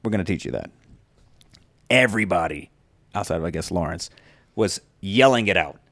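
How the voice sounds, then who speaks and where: A man hosting a podcast, telling stories in animated casual chat with lots of fillers. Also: sits low, normal speed, no room sound. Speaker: podcast host.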